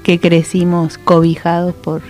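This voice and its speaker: A woman in her forties, from Cuba, talks with a slightly low voice as a radio guest. Her manner is affectionate and grateful, her storytelling a little halting, with soft music in the background. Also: monotone. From Cuba, born in Chile. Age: 40s. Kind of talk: casual live radio interview.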